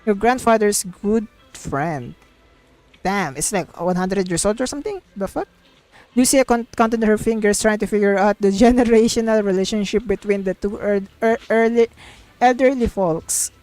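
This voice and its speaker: High-voiced giggly woman streaming a game. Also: moderate pace, a little mellow, poor audio. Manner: exclamatory, giggly, sweary. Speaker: streamer playing a story game. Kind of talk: casual livestream, reading game dialogue aloud and reacting. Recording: music playing behind the voice.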